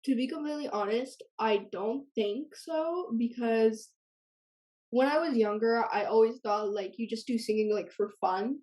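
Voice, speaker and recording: Teenage girl, grew up speaking Hebrew, mellow tone, casual interview guest, chatty with lots of "like". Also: on the high side, a little slow. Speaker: guest singer-songwriter.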